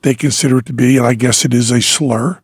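A man's voice: medium pitch, fairly even intonation, fast talker, breathy edge, dry recording, clean studio sound. A middle-aged man from Western Massachusetts with a faded New England accent, chatting casually as a podcast co-host, laughing often and trailing off mid-sentence. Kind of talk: casual chat, storytelling.

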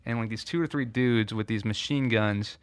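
Animated man telling stories, dry sound. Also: on the low side, moderate pace, smooth, no room sound. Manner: animated storyteller, acts out his own nervous voice. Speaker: podcast guest, author. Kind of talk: casual conversation, storytelling.

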